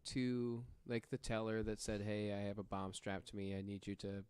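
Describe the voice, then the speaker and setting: A man telling a true story casually and haltingly on a podcast, with joking asides. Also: lowish voice, steady tone, a little mellow, smooth, dry recording.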